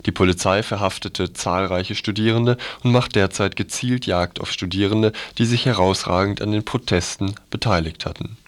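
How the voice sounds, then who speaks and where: Low-voiced man reading radio news.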